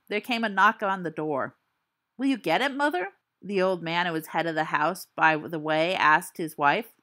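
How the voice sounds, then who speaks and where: A woman's voice: medium pitch, fairly bright tone. A woman voicing a teenage boy wizard who tells a ghost story with theatrical flair and dry asides. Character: teen boy wizard spinning a spooky tale.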